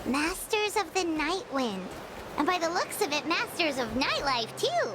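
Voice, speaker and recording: Tiny game fairy, extremely high squeaky voice, wildly swooping intonation, bubbly, cheeky. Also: female voice, very animated, unhurried, full character voice. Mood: musing, cheeky. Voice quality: squeaky, childlike. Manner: exclamatory, bubbly. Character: tiny chirpy childlike fairy companion, curious and cheeky. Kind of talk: video game voice acting. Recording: game music behind the voice.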